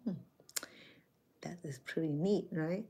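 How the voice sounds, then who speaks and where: A woman's voice: low voice, takes it slowly, warm tone. Woman, 60s, Khmer speaker, chatty live-stream talk, rambling, self-correcting, phone mic. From Cambodia. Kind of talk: casual live-stream chat with viewers.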